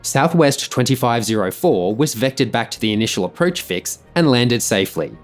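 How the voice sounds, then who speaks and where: A man's voice: medium pitch, lively intonation, moderate pace, soft background music. A man reading scripted explanatory narration about an aviation incident.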